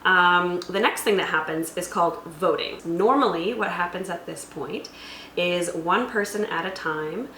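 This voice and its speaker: A woman's voice: lowish voice, measured pace, bright, forceful tone. Woman explaining game rules, echoey room. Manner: methodical, step-by-step. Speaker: tabletop roleplaying host explaining rules. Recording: echoey room with faint background noise.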